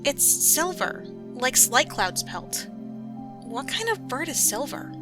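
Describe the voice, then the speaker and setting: A woman's voice: high-pitched, very animated, slow pace, exaggerated voice. A woman voicing a dreamy, chatty young apprentice cat in an amateur audio story. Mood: wondering. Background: background music.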